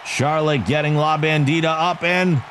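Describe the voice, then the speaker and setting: A man giving excitable wrestling play-by-play commentary for a video game league, joking about himself between calls. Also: fairly high pitch, moderate pace. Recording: game crowd and noise behind the voice. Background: video game arena crowd.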